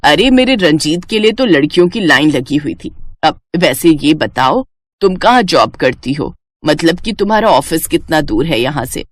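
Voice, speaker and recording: Haughty older Indian mother-in-law played by a woman, low register, swinging intonation, boastful, prying, grudging story dialogue. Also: very animated, normal speed, no echo. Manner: boastful, probing, pointed. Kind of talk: animated Hindi moral story dialogue.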